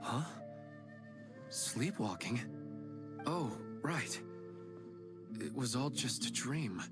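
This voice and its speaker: An earnest, longing husband, slow delivery, game audio with loud music. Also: male voice, mid-range voice, expressive. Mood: wistful. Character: lonely husband missing his wife, earnest. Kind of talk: game voice acting.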